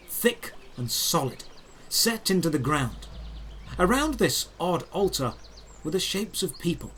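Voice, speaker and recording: Higher-voiced man narrating a fantasy adventure. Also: fairly bright tone, a little hiss or hum. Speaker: narrator of a fantasy audio drama series. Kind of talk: scripted first-person adventure storytelling.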